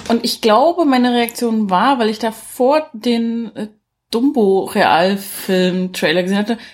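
A woman's voice: on the high side, a little slow. A woman co-hosting a podcast, chatting casually about films with a mid-range voice. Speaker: podcast co-host. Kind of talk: casual film review chat.

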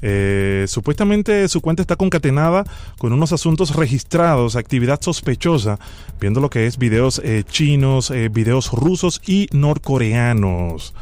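A man on a prank phone call playing a stern, bureaucratic immigration official, speaking formally and gravely. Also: mid-range voice, very animated, moderate pace, darkish tone, close to an everyday voice. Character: stern male immigration official reading a deportation order. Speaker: prank caller.

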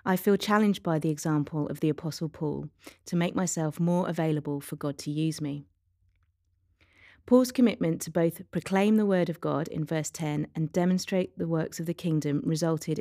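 A woman with a low, mellow voice reads a scripted devotional. The sound is very dry and close, with faint music underneath. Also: no room sound. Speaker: devotional reader.

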